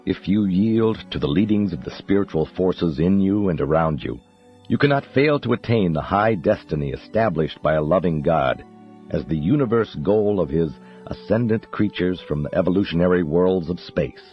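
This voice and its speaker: A man reading a spiritual text as a professional audiobook narrator, in a solemn, measured, declamatory manner. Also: deep voice, lively intonation. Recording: dull muffled audio under music. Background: background music.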